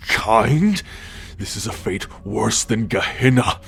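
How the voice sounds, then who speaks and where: A man's voice: fairly low pitch, lively intonation, slow, gravelly, a bit bright, exaggerated voice. Man playing a haughty, grudging immortal son. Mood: bitter resignation. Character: proud, scornful immortal son, resentfully submitting. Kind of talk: scripted ASMR audio roleplay.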